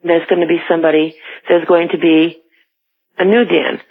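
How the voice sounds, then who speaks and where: A woman's voice: fairly low pitch, level tone, normal speed. Woman caller on a narrow phone line. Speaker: radio show caller. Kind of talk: personal ghost story told conversationally. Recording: tinny, narrow telephone line.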